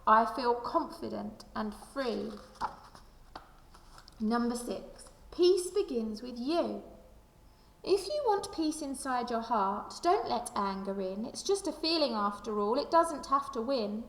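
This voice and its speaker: A woman reading a children's picture book aloud like a primary school teacher, with expressive, deliberate storytelling delivery. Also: fairly high pitch. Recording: echoey room with long reverb.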